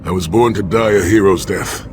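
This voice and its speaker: An actor playing a noble, stoic warrior and sworn protector in a fantasy game, speaking in a solemn, measured way. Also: male voice, sits low, background noise, natural voice. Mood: solemn, tender.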